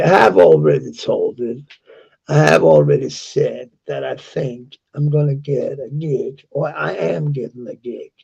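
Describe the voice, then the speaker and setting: A man from Denmark with a Danish accent and a sore, gravelly voice, talking at length in a halting, rambling monologue. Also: on the high side, darkish tone, muffled recording. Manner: halting, with many restarts and fillers. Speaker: vlogger.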